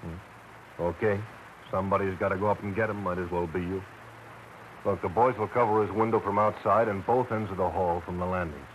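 A man playing a gruff, sardonic police lieutenant in a vintage radio detective drama, with a dry, grudging delivery. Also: sits low, steady tone, brisk, highs cut off, lightly acted. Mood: grudging, businesslike. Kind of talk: 1940s-50s radio detective drama acting. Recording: slightly hissy vintage radio broadcast.

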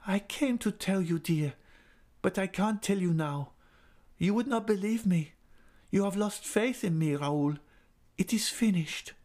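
A man voicing a despairing young woman singer, speaking softly and pleadingly in a raised voice. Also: sits high, slow pace, voice pushed up.

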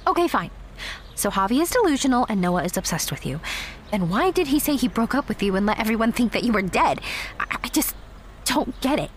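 A frank young woman in an audio drama, doubtful and protective of her friend. Her voice sits fairly high and moves in animated swings, with conversational hesitations that still land pointedly. Faint noise sits behind her. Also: fairly quick. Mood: confused, doubtful. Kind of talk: naturalistic audio drama acting.

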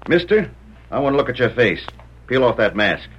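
An actor playing a stubborn, suspicious sheriff with folksy cowboy talk in an old radio Western. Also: male voice, mid-range voice, big pitch swings, normal speed, fairly bright tone. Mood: stern, distrustful. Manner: gruff cowboy drawl, folksy slang. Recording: muffled, hissy vintage radio recording.

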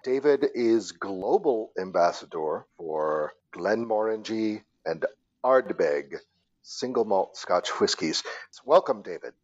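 Male podcast host, very animated intonation, formal, dull lo-fi sound. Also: on the low side, slow. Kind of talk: casual podcast conversation.

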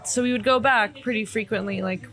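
A woman's voice: a little high, fairly quick, fairly bright tone. Woman in her late twenties talking casually. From Bosnia, raised in Canada. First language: Bosnian. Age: late 20s. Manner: easygoing, conversational with fillers. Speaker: musician guest. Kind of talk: casual interview chat.

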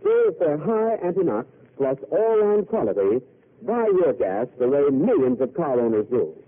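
Old radio ad guy, lively up-and-down delivery, tinny broadcast sound. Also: normal speed. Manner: polished, upbeat pitchman. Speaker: commercial announcer. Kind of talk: 1940s radio commercial.

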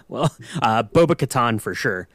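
A man's voice: medium pitch, expressive, measured pace, a bit bright. A man co-hosting a podcast, chatting casually and playfully in mock-serious fan banter. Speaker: podcast co-host.

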